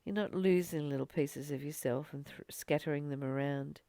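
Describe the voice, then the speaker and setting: Low-voiced woman with a creaky edge. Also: normal speed, warm tone, no echo. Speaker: spiritual teacher leading a daily course study. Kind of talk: informal spiritual talk with personal anecdotes.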